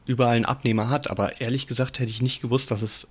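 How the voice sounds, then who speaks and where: A man with a husky voice, chiming into a podcast conversation. He speaks in a dry, ironic way. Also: mid-range voice, fairly even intonation, racing. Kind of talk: casual podcast chat.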